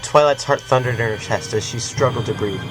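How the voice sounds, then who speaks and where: A man narrating a dramatic fan story in a steady, measured delivery at a middling pitch. Quiet music plays underneath him. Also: low-quality recording. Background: faint music.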